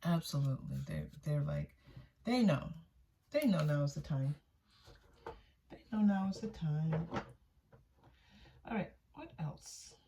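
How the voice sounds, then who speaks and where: A woman's voice: low-pitched, expressive, slow pace, vocal fry, long pauses, background noise. A woman giving a casual, intuitive tarot reading for viewers, often repeating phrases for emphasis. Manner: voices another person's thoughts in first person. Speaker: tarot reader, channel host.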